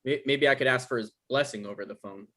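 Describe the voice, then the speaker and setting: A man's voice: medium pitch, pushed and bright. A man playing an anxious, apologetic male writer who gets defensive and stammers, in a screenplay table read. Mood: flustered, pleading. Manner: halting, stammering.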